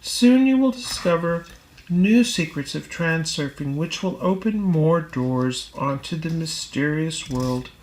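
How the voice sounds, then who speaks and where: A woman reading a self-help book aloud as scripted narration, in a deliberate, measured manner. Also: sits low, lively intonation, darkish tone, poor audio. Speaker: audiobook reader.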